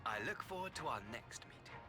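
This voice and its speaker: An actor playing a young imperial officer in a video game, courteous on the surface but sinister and fanatical, with formal, theatrical diction. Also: male voice, on the high side, lively intonation, fairly quick, music in the background, natural voice. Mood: polite, smug. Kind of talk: scripted video game cutscene acting.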